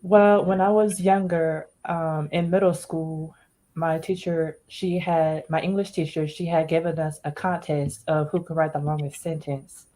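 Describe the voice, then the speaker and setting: Guest author, woman from Washington, deep-voiced, cheerful and eager. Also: fairly even intonation, measured pace, dark tone, poor audio. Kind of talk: casual interview.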